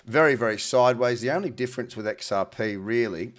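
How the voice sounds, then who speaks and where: A man's voice: mid-range voice, fast. A man with a slightly nasal, head-cold voice, giving informal, matey market commentary as the host of a trading show. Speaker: host, crypto trading analyst. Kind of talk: casual market commentary to camera.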